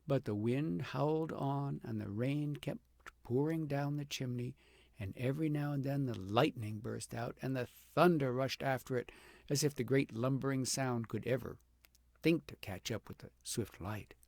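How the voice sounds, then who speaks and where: A man narrates a children's fairy tale in a gravelly voice with plenty of fry. He leans on key words and stretches phrases for drama. The sound is dry and intimate, with no room around it. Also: medium pitch, moderate pace, darkish tone, dry recording. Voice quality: gravelly, slightly husky. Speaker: audiobook reader.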